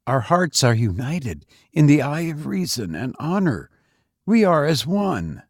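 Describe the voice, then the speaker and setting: A man's voice: fairly high pitch, big pitch swings, unhurried, no room sound, studio quality, pitched above the performer's own voice, exaggerated voice. A man voicing an ardent young male student in a storybook reading, fervent and dramatic in manner. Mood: ardent, pleading. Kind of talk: storybook character dialogue.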